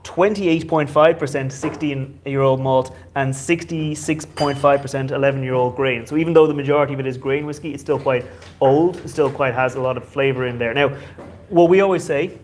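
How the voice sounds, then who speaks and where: A man's voice: medium pitch, brisk, darkish tone, a little hiss or hum. A man hosting a whiskey society tasting night, chatty and loose, with many fillers.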